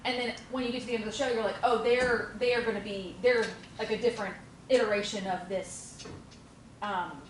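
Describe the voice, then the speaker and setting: A woman's voice: fairly high pitch, brisk. A woman speaks her mind plainly and colorfully, swearing without hesitation. She sounds set back from the microphone. A roomy echo and faint noise surround her voice. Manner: frank and animated, swears casually. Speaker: audience member giving notes. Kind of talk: casual feedback discussion. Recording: echoey room, distant mic.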